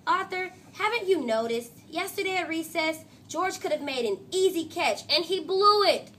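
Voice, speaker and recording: A woman's voice: very high-pitched, animated, moderate pace, a bit bright, slight background noise, pitched above the performer's own voice. A woman reading a storybook, voicing a brash, cocky, impatient young schoolgirl with an emphatic, boastful delivery. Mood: scornful.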